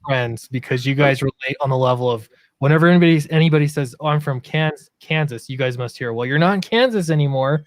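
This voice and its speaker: A man teasing his friends in a quick, casual chat. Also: mid-range voice, no room sound.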